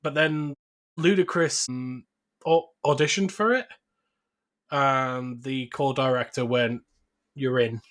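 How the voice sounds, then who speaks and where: A man speaks as a podcast host in a casual discussion. His voice sits at an ordinary middle pitch, and he talks in a loose, conversational way. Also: fairly even intonation, slow pace, pauses often, fairly bright tone.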